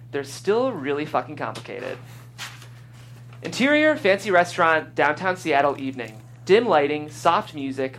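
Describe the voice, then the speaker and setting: Woman hosting and narrating over noticeable hum. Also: low voice. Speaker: host and stage-direction reader. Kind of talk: podcast hosting and scripted narration.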